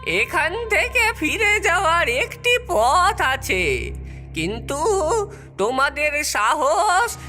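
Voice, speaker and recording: A woman's voice: high voice, very animated, takes it slowly, pushed and bright, soft background music, heavily acted. A woman voicing a mysterious, knowing ancient tree in a cartoon, solemn in manner. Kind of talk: cartoon acting.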